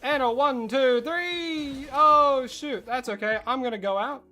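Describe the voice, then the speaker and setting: An Australian streamer chatting casually while gaming, rambling and excitable, breaking into high squeals of surprise. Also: a little slow, faint music behind.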